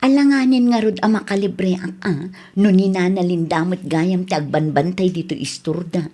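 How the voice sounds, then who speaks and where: A woman's voice: lowish voice, normal speed, dark tone, natural voice. A woman with an Ilocano Filipino accent playing a chatty, teasing neighbour and shop customer in a radio comedy drama. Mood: playful, startled.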